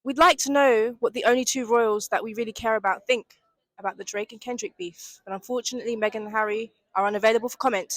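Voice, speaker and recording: A woman hosting street interviews outdoors in London, reporting in a playful, deadpan mock-news style. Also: a little high, quick pace, dry recording, rough recording.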